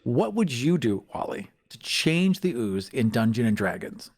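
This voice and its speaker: A man with a medium-pitched voice hosts a casual gaming chat. He talks like a storyteller, laying out scenarios in conversational language. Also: sing-song, measured pace, darkish tone, a little hiss or hum. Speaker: podcast host. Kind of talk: casual tabletop gaming discussion.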